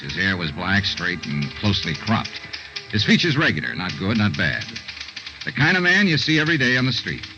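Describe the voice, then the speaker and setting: An American actor playing a brisk, hard-nosed insurance investigator and narrator in a 1950s radio serial, with a clipped, dry, businesslike delivery. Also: male voice, on the low side, very animated, over music. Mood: brisk, narrating. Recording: muffled 1950s radio recording.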